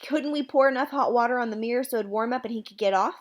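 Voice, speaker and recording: A woman's voice: fairly high pitch, fast talker, lightly acted. Worried Southern mama, as a woman narrator plays her. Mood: tender worry. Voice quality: shaky. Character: worried, tender Southern mother.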